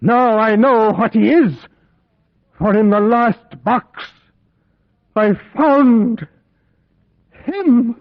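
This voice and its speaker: Terrified young captive, muffled old radio. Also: male voice, extremely high pitch, no echo, heavily acted. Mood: horrified. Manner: melodramatic, breathless dread, dramatic pauses. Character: young Englishman held captive, terrified, desperate, haunted. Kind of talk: old-time radio horror play acting. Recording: muffled old radio recording, music behind.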